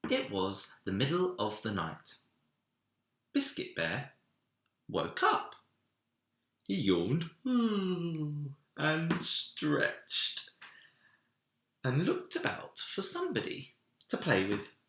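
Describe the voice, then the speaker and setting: A man reading a children's story aloud in an expressive narrating style, recorded in an echoey room. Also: medium pitch, slow pace, long pauses. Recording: roomy, echoey indoor sound.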